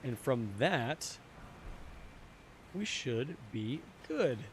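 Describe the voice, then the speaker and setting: A young man streaming a game, chatting casually with his viewers, his voice a little hoarse. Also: mid-range voice, big pitch swings, extremely slow, slight background noise. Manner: chatty, answers chat, repeats phrases. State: slightly hoarse. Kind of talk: casual live-stream chat while gaming.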